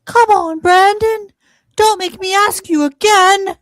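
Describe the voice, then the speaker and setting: A woman voicing a nagging mother of a teenage boy in a live radio play, calling out in drawn-out, exasperated tones. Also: very high voice, steady tone, no room sound.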